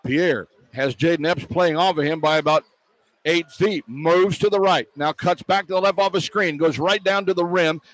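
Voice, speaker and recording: A man doing live radio basketball play-by-play, describing the action in short, clipped phrases that build toward excited calls. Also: fairly high pitch, moderate pace, no echo, poor audio. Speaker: play-by-play announcer. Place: broadcast position at an indoor arena.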